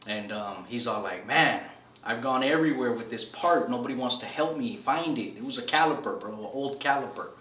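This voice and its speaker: A man in his thirties from El Salvador, sharing his story in a loose, slangy way with "bro" thrown in all the time. His voice sits at an ordinary middle pitch. He is a little off the mic in a roomy, echoing space. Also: fairly bright tone. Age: 30s. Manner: laid-back slangy storytelling, says "bro" a lot. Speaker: interviewee. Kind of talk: casual storytelling interview. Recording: echoey room from a distance.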